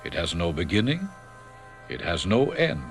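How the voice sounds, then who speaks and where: An actor playing a calm spirit guide who speaks in measured tones in a radio drama. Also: male voice, low-pitched, gravelly, faint music behind, full character voice.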